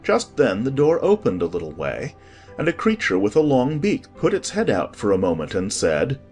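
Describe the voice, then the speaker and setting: A man narrating a storybook from a script as an expressive audiobook reader. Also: medium pitch, normal speed, faint music behind.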